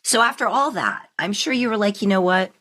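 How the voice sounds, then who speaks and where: A woman podcast host in casual conversation, telling rambling, animated stories. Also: medium pitch, fairly quick. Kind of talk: casual chat.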